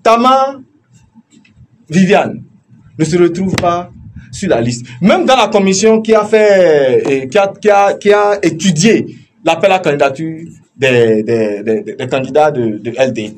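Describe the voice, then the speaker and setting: Animated high-voiced Beninese man, argumentative. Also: a little hiss or hum. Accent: Beninese French. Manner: argumentative, halting with repeated words. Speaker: political debate participant. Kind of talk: heated, animated political debate.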